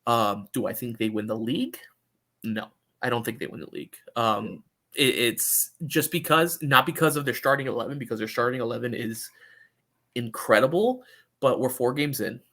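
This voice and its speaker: A man's voice: medium pitch, moderate pace, sharp tone. A man hosting a sports podcast, chatting casually about soccer and often repeating words as he thinks aloud.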